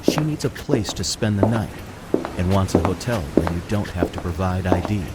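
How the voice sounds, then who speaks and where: A man's voice: deep voice, animated, normal speed. A man reading scripted scene narration for an audio drama. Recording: loud background noise, clicks.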